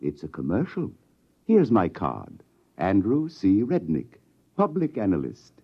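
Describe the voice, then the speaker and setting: A wisecracking little old man peddling advice, with a rough, rather low voice. He swoops dramatically through his lines in a folksy, bossy salesman's patter. It sounds like dull vintage radio drama. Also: huge pitch swings, measured pace, full character voice. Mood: brash, knowing. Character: small older man, brash wisecracking huckster analyst. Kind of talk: 1950s radio drama character acting. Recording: dull 1950s radio recording.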